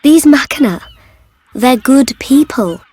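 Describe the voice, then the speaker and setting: A woman playing a gentle, affectionate young woman in a video game cutscene. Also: sits high, expressive, takes it slowly, slightly rough, exaggerated voice. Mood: gentle pleading.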